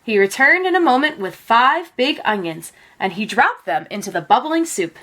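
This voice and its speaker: Expressive woman storyteller, very lively intonation. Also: fairly high pitch, normal speed. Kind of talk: children's picture book read-aloud.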